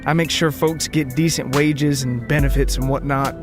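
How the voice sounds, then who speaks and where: A man doing a huge, gruff, deadpan tough guy. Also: mid-range voice, normal speed, music in the background, natural voice. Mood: guarded explaining.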